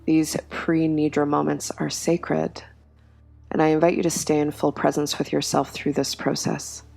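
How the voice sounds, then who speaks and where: Woman meditation guide, low flat voice, slow and soothing, soft music behind. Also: creaky. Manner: slow, soft, soothing delivery with long pauses. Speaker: yoga nidra guide.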